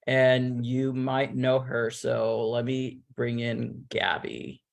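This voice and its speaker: A man hosting an online cast reveal, talking in a rambling, relaxed way with plenty of fillers. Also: mid-range voice, takes it slowly, gravelly. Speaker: host revealing a game cast.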